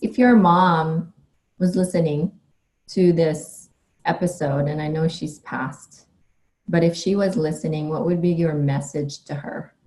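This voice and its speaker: A woman with a notably low voice hosts a podcast and chats easily with her guest. She keeps the conversation warm with frequent short affirmations. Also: steady tone, measured pace, low-quality recording. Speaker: podcast host. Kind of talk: casual interview conversation.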